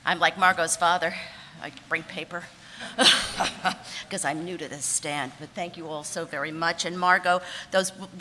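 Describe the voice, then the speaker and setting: A woman in her sixties giving an award acceptance speech from notes at a podium, warm and self-deprecating, with small laughs and restarts. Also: lowish voice, fairly even intonation, normal speed, background noise. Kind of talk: prepared speech read from notes. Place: banquet hall stage at a podium. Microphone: podium microphone on a stand. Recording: reverberant banquet hall.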